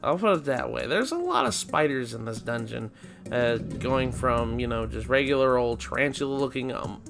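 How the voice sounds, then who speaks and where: A man hosting a video game let's play, losing his voice and sounding raspy, rambling and correcting himself as he talks. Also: medium pitch, animated, moderate pace, over music. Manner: rambling, self-correcting, chatty. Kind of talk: casual gameplay commentary.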